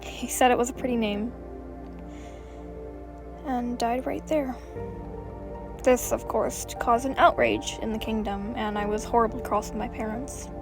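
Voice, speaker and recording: A haughty young female ice fairy, acted by a woman in a roleplay drama. The voice sits fairly high, with a guarded, wistful, storytelling delivery. Music plays clearly underneath. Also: fairly even intonation, measured pace, pauses often. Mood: sorrowful, intense.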